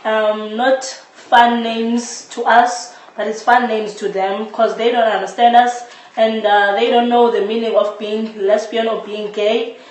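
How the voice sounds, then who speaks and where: A woman's voice: on the high side, flat delivery, a little slow, few pauses. A woman with a South African accent explaining her view as a guest in a live interview. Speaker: interviewee, audio drama maker. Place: echoey indoor space, live-streamed discussion. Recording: echoey room, distant mic, faint noise.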